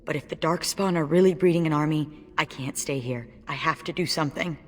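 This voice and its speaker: A woman's voice: low-pitched, a little fast, long reverb tail. An actress playing a plucky, wry woman warrior, a chatty lone survivor in a video game. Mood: shaken.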